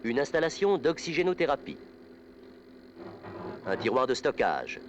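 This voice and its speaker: A man narrating an instructional film in a formal, scripted, measured documentary style. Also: mid-range voice, wildly swooping pitch, highs cut off, a little hiss or hum. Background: music.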